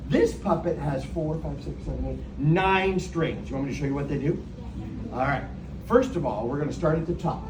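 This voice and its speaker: A man's voice: fairly high pitch, normal speed. A man working as a puppeteer, talking playfully and teasingly to children at a live show and asking them questions. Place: echoey room with a live audience. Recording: echoey, noisy live room from a distance.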